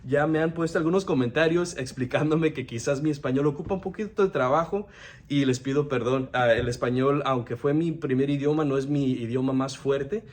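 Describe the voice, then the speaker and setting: A young man giving an earnest devotional sermon, often stopping to restart his words. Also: mid-range voice. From United States. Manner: earnest, halting, frequent restarts. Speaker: devotional speaker.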